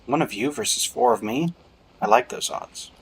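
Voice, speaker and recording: A man's voice: on the high side, wildly swooping pitch, normal speed, gravelly, slightly rough, poor audio. A man giving casual gameplay commentary, muttering to himself with playful, mock-formal phrasing. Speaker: game streamer. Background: game music.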